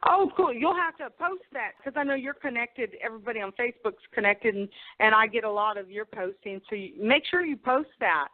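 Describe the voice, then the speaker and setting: A woman's voice: on the high side, expressive, a little fast, hardly any pauses. A woman hosting an interview in a casual, chatty conversational style. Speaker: interview host. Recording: narrow, lo-fi phone-like line.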